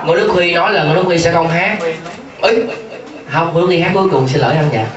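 Young man, stage host, fast joking patter, muffled roomy live sound. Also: on the high side, far from the mic, crowd noise. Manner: joking, quick, teasing banter. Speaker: emcee of a cafe singing contest. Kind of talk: lively stage hosting. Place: small cafe stage indoors. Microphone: handheld mic, heard from far.

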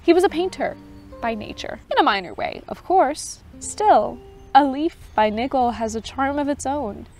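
A woman voicing a gentle but authoritative unseen advocate who speaks kindly and with measured calm. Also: sits high, soft background music, pitched above the performer's own voice. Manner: kind, measured, pleading.

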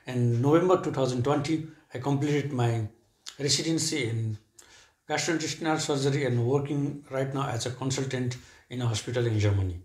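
A man in his thirties from Nepal, a surgeon being interviewed on a sofa, answering calmly and matter-of-factly with frequent hums. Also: medium pitch, a little fast. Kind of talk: casual conversational interview. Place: living room, seated on a sofa indoors. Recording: echoey room.

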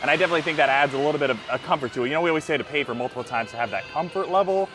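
Quick chatty guy in his 30s at an outdoor carnival game, music playing behind him. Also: on the high side. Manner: upbeat, chatty, excitable while playing. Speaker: theme park vlogger. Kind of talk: casual vlog chat. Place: outdoor carnival game booth at a theme park. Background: background music.

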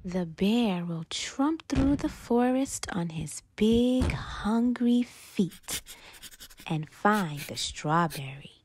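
A woman reading a children's picture book aloud expressively, dramatically stretching words and dropping into breathy whispers. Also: mid-range voice, animated, drawn-out words, darkish tone. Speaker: storytime reader and channel host.

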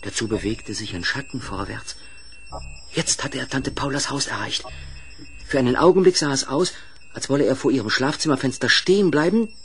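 A man narrating a children's audio play as a dramatic, deliberate storyteller with suspenseful pauses. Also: medium pitch, soft background music.

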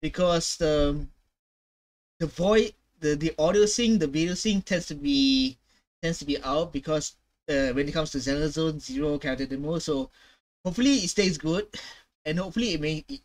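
A man's voice: fairly high pitch, normal speed. A young man giving casual, emphatic and slangy reaction commentary as a video host. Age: young adult. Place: small room at home.

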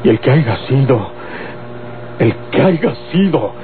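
A man's voice: mid-range voice, animated, slow pace. A man playing a proud, vengeful Mexican outlaw in a radionovela, with a rustic, emphatic, threatening delivery. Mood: vengeful, stern. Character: proud, vengeful male outlaw, menacing family avenger. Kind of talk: Mexican golden-age radionovela acting. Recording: tinny old radio, music underneath.

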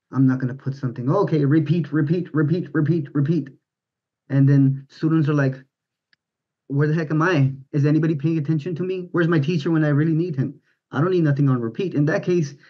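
A man's voice: on the high side, slightly rough. A man in his thirties, a guest on a video call, talking earnestly in a rambling way with many restarts. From born in the United States, raised in India, Dubai and Pakistan. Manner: rambling, earnest, frequent restarts, gestures with hands. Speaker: guest, mind power teacher. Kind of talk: casual video-call interview. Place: home room with bright yellow walls and posters, indoors. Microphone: webcam on video call. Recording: dull lo-fi video call audio.

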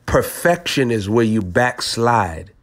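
A man preaching in a prophetic teaching style, deliberate and aphoristic, repeating phrases for emphasis. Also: mid-range voice, animated. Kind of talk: prophetic teaching over a phone call.

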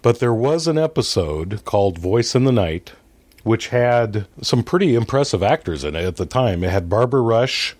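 A man with a fairly low voice speaks as a guest in a casual discussion. He explains things conversationally and somewhat haltingly, backing up to correct himself along the way. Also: animated, darkish tone. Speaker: podcast guest. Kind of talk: casual conversational movie discussion, retelling a story.

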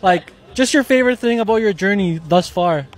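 A man's voice: high-pitched, a little fast. A young man, asking short casual questions as a street interviewer outdoors. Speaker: interviewer, host. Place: outdoor patio at an event. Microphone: handheld interview mic.

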